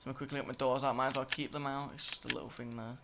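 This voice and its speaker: A croaky, slightly sick young man rambling through a game-building tutorial, often correcting himself. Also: mid-range voice, flat delivery, very fast. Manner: rambling, self-correcting, filler-heavy. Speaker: gaming tutorial creator. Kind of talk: casual gameplay tutorial commentary. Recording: loud game noise behind. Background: game sound effects and clicks.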